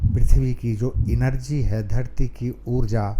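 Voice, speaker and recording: A man leading a guided meditation calmly, pausing between short phrases. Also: mid-range voice. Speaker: meditation teacher.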